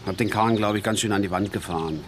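A man's voice: lowish voice, quick pace, noisy background, lightly acted. An actor playing a talkative, boastful working-class father down on his luck, telling rambling colloquial stories in a radio play. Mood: troubled. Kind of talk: naturalistic German radio play acting.

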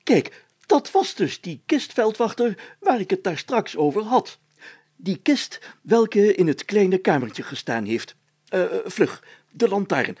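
Guy doing a bossy puffed-up mayor for a kids story, grandiose, highish and husky. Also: big pitch swings, takes it slowly, darkish tone, heavily acted. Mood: triumphant, commanding. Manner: declamatory, grandiose, commanding. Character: pompous, bossy male village mayor, self-styled fearless hero. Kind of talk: storybook character voice.

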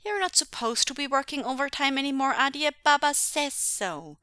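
Woman acting little boy, high pitch, childlike, eager, insistent, sweet and cheeky, storybook reading. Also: lively intonation, normal speed, bright, forceful tone, dry recording, clean studio sound. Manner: childlike, exclaiming.

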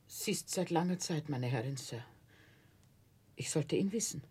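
An actress playing a loyal, subdued housemaid in a 1960s German radio drama, husky, quiet and guarded. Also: female voice, deep voice, lively intonation, moderate pace, exaggerated voice. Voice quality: husky, breathy. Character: loyal, subdued housemaid answering her master.

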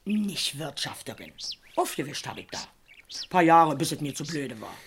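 A woman's voice: sits low, very animated, normal speed, a little hiss or hum. An actress playing a brash, chatty cleaning woman with a Berlin accent in a German radio crime drama. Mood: plain-spoken, pondering. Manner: brash, colloquial, wry. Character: cheeky, chatty working-class cleaning woman, plain-spoken.

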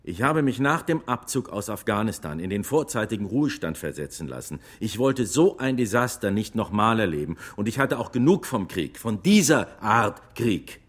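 An actor playing a retired, war-weary army officer who testifies gravely and emphatically in a courtroom radio drama. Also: male voice, on the low side, sing-song, moderate pace, few pauses. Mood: firm, reflective.

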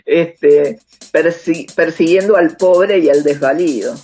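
A woman being interviewed on the radio, explaining in a conversational and halting way, searching for words and seeking agreement. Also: low voice, loud music behind the voice.

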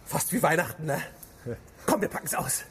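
A woman acting a brisk, wry crime-scene worker in a naturalistic radio drama. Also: sits low, animated, noisy background.

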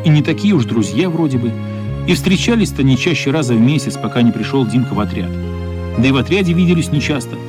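A man's voice: medium pitch, lively intonation, fast talker, over music. A man narrating a radio play as dramatic scripted storytelling, expressively voicing the characters' thoughts.

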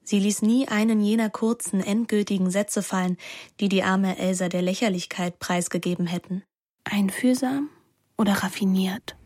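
An actress playing a sensual, carefree teenage daughter, narrating intimately and with measured calm in a radio drama. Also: female voice, mid-range voice, no echo, studio quality, close to an everyday voice. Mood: reflective, intimate.

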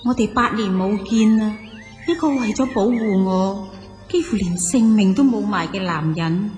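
A woman's voice: mid-range voice, moderate pace, darkish tone, faint music behind, close to an everyday voice. A woman acting in a Cantonese audio drama as a humble, sorrowful noblewoman of ancient China, sighing and speaking with formal courtesy. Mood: wistful, grieving.

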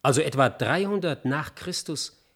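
An actor playing a learned, independent-minded knowledge broker in a science-fiction radio play, speaking in a measured, articulate, dryly ironic way. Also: male voice, a little high, expressive, fairly bright tone, slightly rough, heavily acted. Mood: matter-of-fact. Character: Hermes, learned ex-banker turned independent knowledge broker, wryly curious.

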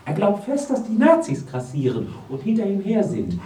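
A woman's voice: mid-range voice, huge pitch swings, normal speed, warm tone, a little hiss or hum. A malicious female ringleader in a radio play, heard at a distance in an echoing room. She is theatrical and commanding, her falsely sweet voice swinging up and down. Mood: sweetly sly. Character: gleefully malicious ringleader of a cruel game. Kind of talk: German radio drama acting.

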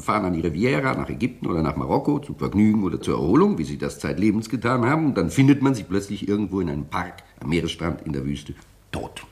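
A man's voice: low voice, creaky, exaggerated voice. An actor playing an aging, weary British detective and father in a radio drama, plaintive and evasive. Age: older.

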